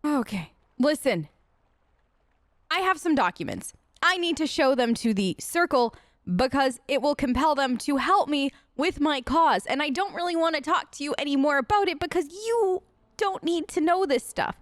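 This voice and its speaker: A woman with a high voice chats her way through a role-play playthrough. She is sarcastic and conversational, recorded close and very dry. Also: lively intonation, moderate pace, no room sound. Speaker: gaming video host. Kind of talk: improvised in-character role-play let's play.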